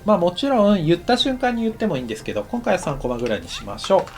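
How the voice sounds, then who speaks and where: A man giving a casual, explanatory tutorial as an anime director, thinking aloud in short halting phrases. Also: high voice, wildly swooping pitch, darkish tone, soft background music. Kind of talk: instructional lesson narration, casual and explanatory.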